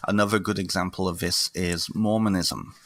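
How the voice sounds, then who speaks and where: Male interview guest: low, crisp-edged voice recorded dry, emphatic, talking through ideas conversationally. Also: steady tone, normal speed, fairly bright tone, no echo. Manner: emphatic, often checks with "right?". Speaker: interview guest, therapist and lecturer. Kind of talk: conversational explaining.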